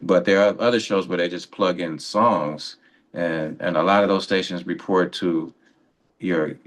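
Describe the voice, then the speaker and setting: A man's voice: low-pitched, measured pace. A man co-hosting a radio show, explaining things in a casual, conversational way.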